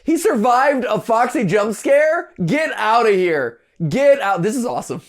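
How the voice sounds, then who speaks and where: A man hosting a reaction show, giving excited, joking and incredulous commentary full of exclamations. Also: very high-pitched, measured pace.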